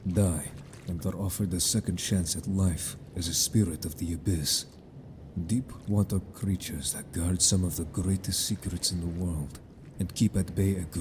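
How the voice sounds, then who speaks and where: A man's voice: low-pitched, darkish tone, slight background noise, lightly acted. A man playing a stern but caring, protective merman in a fantasy audio drama, speaking firmly and formally. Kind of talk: scripted audio drama acting.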